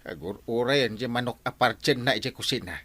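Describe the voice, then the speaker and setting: An actor playing a cranky, clueless older village captain in a comic radio drama, sputtering and hesitant. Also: male voice, mid-range voice, fairly quick, noisy background, natural voice. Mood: hungry, cranky. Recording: radio drama with music behind.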